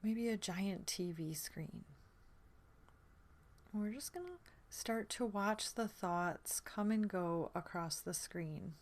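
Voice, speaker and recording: A woman with a mid-pitched, soft voice leads a sleep meditation. She speaks slowly and gently, leaving room between phrases. Her words often fade into a breathy, soothing near-whisper. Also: lively intonation. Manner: soothing, gentle, unhurried with long pauses. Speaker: guided meditation instructor.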